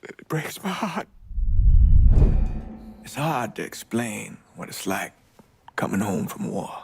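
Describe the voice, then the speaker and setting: A man playing a weary, regretful old American priest and war veteran, giving solemn, measured testimony in a documentary-style game scene. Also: fairly low pitch, creaky, full character voice. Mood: grave, haunted. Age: older. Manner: measured, solemn, deliberate storytelling with pauses. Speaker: priest recounting past events in a documentary interview.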